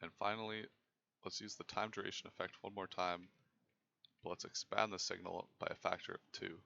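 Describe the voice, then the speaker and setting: A young man narrates a technical presentation in a very low voice with a lot of fry. His delivery is measured and formal, and the recording sounds slightly dull and lo-fi. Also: flat delivery, bright, forceful tone. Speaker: presenter of a student software project.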